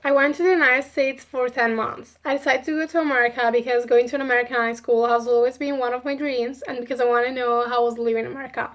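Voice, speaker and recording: A woman's voice: on the high side, steady tone, fast, few pauses. An eighteen-year-old Italian woman with an Italian accent, talking earnestly about her exchange year with hesitant fillers. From Italy. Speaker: former exchange student. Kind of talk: casual vlog chat.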